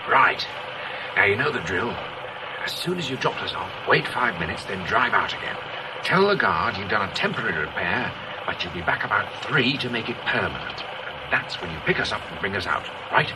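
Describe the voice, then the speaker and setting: Brisk crime boss in a radio play, low voice a bit off mic, loud van noise. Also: male voice, big pitch swings, recorded from a distance. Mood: brisk, commanding. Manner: instructing, orderly. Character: brisk male gang leader giving orders from van's back. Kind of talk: radio drama acting.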